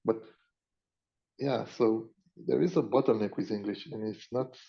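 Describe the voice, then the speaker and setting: A man with a Romanian accent talking casually as a podcast co-host, in a halting way, searching for his words. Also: on the low side, fairly even intonation, creaky. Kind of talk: casual unscripted conversation. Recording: dull lo-fi audio.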